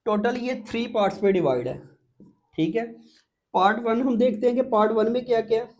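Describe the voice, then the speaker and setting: A man's voice: very high voice, fairly quick, darkish tone. A man with a Pakistani accent giving an exam-prep lecture, explaining step by step as a teacher and often repeating points with little check-in words.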